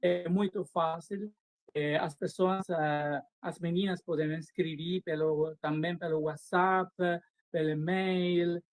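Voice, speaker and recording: A man with an Italian accent, a pageant organizer speaking halting, simple Portuguese over a video call. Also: fairly high pitch, steady tone, no room sound. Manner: halting, simple phrasing, many pauses.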